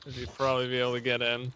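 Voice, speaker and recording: A man's voice: mid-range voice, monotone, quick pace. A man chatting casually as a player in a tabletop game over voice chat. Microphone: online voice chat with headphones. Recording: dull voice chat, keyboard noise.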